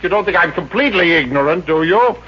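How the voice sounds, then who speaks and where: An actor playing a fussy, timid gentleman in a 1950s radio drama, prissy and stammering. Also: a little high, animated, fast talker. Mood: fretful. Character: fussy, timid male salon owner and doctor. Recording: dull old radio broadcast, faint hiss.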